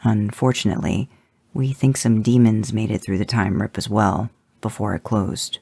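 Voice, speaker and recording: A solemn angel who speaks in a low, flat, gravelly tone, put on by a man reading a fan story. The voice is dark and husky, and the audio is dry and close. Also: moderate pace, no echo. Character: grave, stiff male angel.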